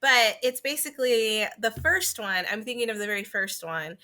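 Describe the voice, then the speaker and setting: A woman's voice: fairly high pitch, lively intonation, normal speed, bright, forceful tone. A woman co-hosting a podcast, talking casually and informally about video games, often saying "like". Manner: informal, full of "like". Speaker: podcast co-host.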